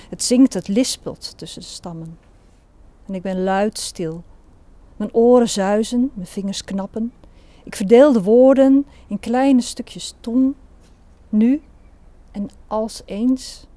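Mellow, unhurried woman reciting poetry. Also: mid-range voice. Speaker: poet.

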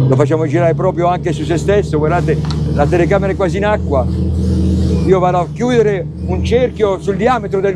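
A man's voice: mid-range voice, mellow, slight background noise. A middle-aged man presenting a boat test at sea, talking casually and enthusiastically straight to the viewers. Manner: chatty, enthusiastic, addresses viewers directly. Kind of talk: casual on-board boat review. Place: open motorboat at sea, outdoors.

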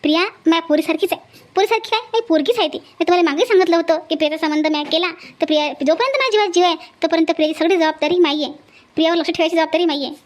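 A woman's voice: very high-pitched, very fast. A woman voicing a kindly, bustling village mother in an amateur cartoon comedy, earnest and chatty, with a Varhadi Marathi accent. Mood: earnest, reassuring.

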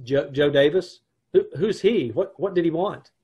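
A man's voice: a little high, animated, moderate pace, warm tone, husky, lo-fi sound. A middle-aged man playing a dry, wry, put-upon advertising man and husband in an old-time radio comedy, sometimes stammering. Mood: exasperated. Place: indoors at home on a video call.

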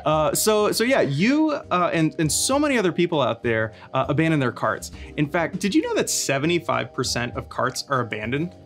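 A man in his thirties explaining a marketing topic in a bright studio, hesitant with frequent fillers and restarts, often asking his co-host leading questions. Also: fairly high pitch, sing-song, moderate pace, hardly any pauses, soft background music. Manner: asks the listener leading questions. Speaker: marketing expert. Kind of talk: casual scripted company explainer chat. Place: bright studio with brick wall, standing.

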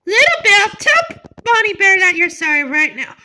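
A woman acting an indignant, complaining character in an amateur school cartoon story, with a whiny, halting delivery. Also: very high voice, sing-song, sharp tone, slight background noise, exaggerated voice. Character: indignant, tattling schoolteacher and wronged young bear pupil. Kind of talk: amateur role-played children's cartoon story.

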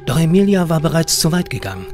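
A man reading a short horror story as narrator, measured and dramatic. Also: a little high, big pitch swings, darkish tone. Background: eerie horror music.

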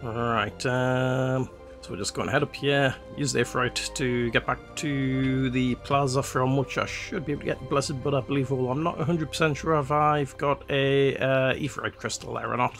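A man's voice: medium pitch, normal speed, faint music behind. Man commentating a game, slightly stuffy nose. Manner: relaxed, rambling, with frequent "uh" fillers. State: slightly congested nose from a cold. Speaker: let's play host. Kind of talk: casual gameplay commentary.

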